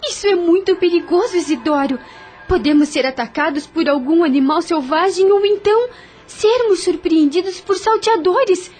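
An actress in a radio drama playing a gentle, earnest young heiress with polite, formal, expressive speech. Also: female voice, very high voice, normal speed, faint music behind. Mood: anxious.

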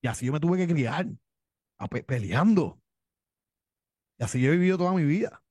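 A man's voice: on the high side, animated, normal speed, a little mellow, dry recording, studio quality. A man with a Cuban accent, a wrestler telling his story in an interview, boastful and tough, often repeating himself. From Cuba. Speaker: pro wrestler guest.

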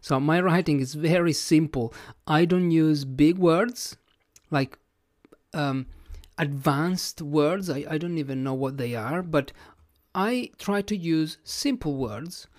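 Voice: mid-range voice, slow, darkish tone, dry recording, clean studio sound. An English teacher whose first language is Italian, speaking with an Italian accent in a plain-spoken, reflective podcast lesson, thinking aloud as they go.

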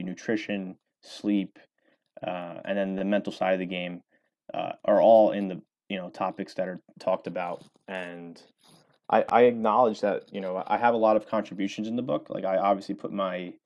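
Young male author, very low voice, loose rambling delivery with lots of ums. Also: steady tone, moderate pace, a little mellow, lo-fi sound. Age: 20s. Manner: rambling, many fillers and restarts. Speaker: author and former college baseball player. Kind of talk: casual interview answers.

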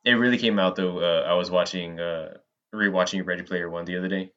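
A man with a very low voice chats casually as a podcast co-host. He is animated and jokey and swears freely. The recording is dull and lo-fi, with the detail muffled. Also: a little fast. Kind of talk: casual chat about movies. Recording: dull, lo-fi band-limited audio.

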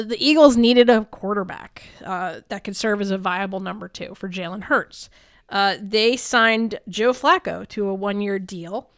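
A woman's voice: medium pitch, fairly bright tone, clean tone, no echo, studio quality. A woman co-hosting a sports talk show, chatting casually and giving her opinions as she recaps the news.

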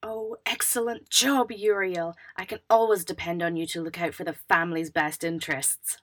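A brash princely big brother voiced by a woman in a storybook audio drama. The voice is lowish, very bright and forceful, recorded dry, full of scheming, self-satisfied enthusiasm. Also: fairly even intonation, moderate pace, no room sound. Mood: gloating. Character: overbearing, scheming, enthusiastic elder brother in a royal family. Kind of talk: multivoice fan audio drama.

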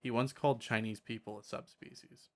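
A man's voice: medium pitch, fairly even intonation, brisk. A man chatting casually as a podcast host about tabletop gaming, often repeating words and trailing off.

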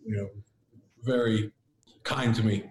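A man who grew up speaking Latvian, a podcast guest talking in an earnest, reflective way with halting restarts. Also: fairly low pitch, fairly even intonation, darkish tone, slight background noise. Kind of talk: casual interview chat. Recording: roomy echo.

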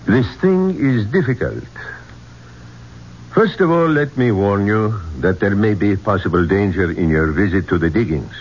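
An actor playing a formal, probing police inspector in a 1970s radio drama, questioning in a measured way. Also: male voice, on the low side, expressive, darkish tone. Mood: cautious. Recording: dull, noisy 1970s radio recording.

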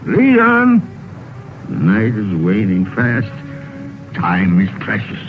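An actor playing a fearful, repentant old miser with halting, pleading, dramatic delivery in a vintage radio drama. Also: male voice, lowish voice, wildly swooping pitch, heavily acted. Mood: fearful, earnest. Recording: muffled vintage radio broadcast, music behind.

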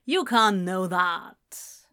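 A woman's voice: fairly high pitch, lively intonation, a little slow. A woman voicing a confident, insistent man in an audiobook story, blunt and certain, dismissive yet lovesick. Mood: earnest, pleading.

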